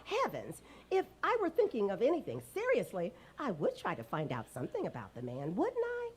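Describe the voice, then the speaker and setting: A woman's voice: mid-range voice, wildly swooping pitch, brisk, husky. A woman playing a coy, fluttery elderly landlady who exclaims and protests in a radio comedy. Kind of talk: old-time radio comedy acting.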